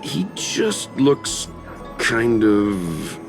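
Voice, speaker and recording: An actor playing a reserved, thoughtful gentleman investigator in a video game, measured and polite with some hesitation. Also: on the low side, expressive, over music, exaggerated voice. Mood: hesitant, apologetic. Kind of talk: video game character acting.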